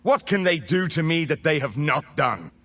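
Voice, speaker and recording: A man playing a bitter, defiant rebel mage in a video game, speaking deliberately and dramatically. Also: on the high side, lively intonation, fairly bright tone. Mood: grim defiance. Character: defiant adult male mage rebel, bitter, grimly intense. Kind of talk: video game character voice lines.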